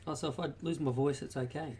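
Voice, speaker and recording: A man chatting off camera with his partner, slightly hoarse, trading dry teasing remarks. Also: on the high side, fairly even intonation, quick pace, darkish tone, slight background noise. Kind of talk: casual banter.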